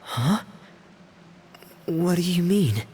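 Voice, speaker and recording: A man's voice: high voice, lively intonation, extremely slow, a little mellow, a little hiss or hum, full character voice. A man voicing a reserved, sincere teenage boy with a subdued, hesitant delivery in a video game, over music. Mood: conflicted, apologetic. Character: quiet, earnest, reserved teenage boy, loyal and resolute. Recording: music behind the voice.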